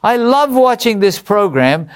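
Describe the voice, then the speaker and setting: Upbeat English man hosting, slightly high. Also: sing-song, unhurried, smooth. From England. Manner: upbeat, chuckles between remarks. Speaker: host. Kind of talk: reading viewer letters on a Bible study show.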